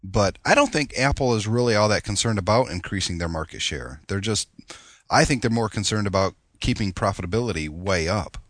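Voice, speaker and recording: A man with a low voice, worn thin and strained, talks as the host of a laid-back tech podcast. He talks casually, with frequent fillers and restarts. The dry, close sound comes from a modest home microphone. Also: fairly quick, dry recording. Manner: relaxed, chatty, with fillers and restarts. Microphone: lower-grade home mic.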